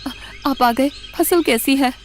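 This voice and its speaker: Woman acting a high-pitched, fretful village wife. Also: slow pace, faint music behind. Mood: worried. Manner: fretful, exclaiming questions. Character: anxious, quick-tempered village wife, easily offended.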